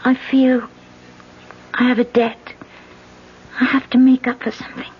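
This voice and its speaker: An actress playing an anxious young woman running for office who hides a past killing, with a halting, fearful stammer, in a 1970s radio drama on a dull vintage recording. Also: sits high, flat delivery, breathy edge, exaggerated voice. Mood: uneasy.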